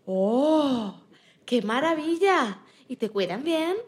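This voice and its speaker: A woman's voice: sits high, expressive, slow pace, husky, full character voice. A woman playing a small, timid, trusting young female rabbit in a childlike, plaintive way for a children's radio story. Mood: timid wonder. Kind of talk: amateur radio-play acting of a children's story.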